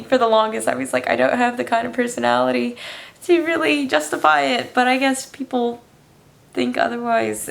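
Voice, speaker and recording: A young woman with a raspy voice, answering viewer questions casually in her room, tired and hesitant with a self-deprecating manner. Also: fairly high pitch. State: tired with a headache. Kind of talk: casual Q&A chat.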